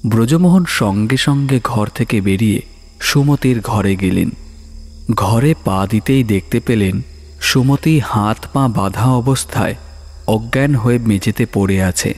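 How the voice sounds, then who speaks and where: A man narrating a horror story in a dramatic, suspenseful way, with pauses for effect. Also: on the low side. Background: soft music.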